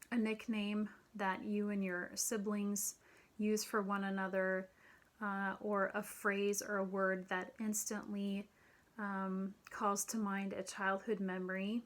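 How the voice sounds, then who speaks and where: A middle-aged woman lecturing at a slow, unhurried pace and a middle pitch. She leans on frequent ums and clears her throat now and then while explaining from a room at home. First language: English. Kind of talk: recorded online lecture. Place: home room with children's drawings on the wall.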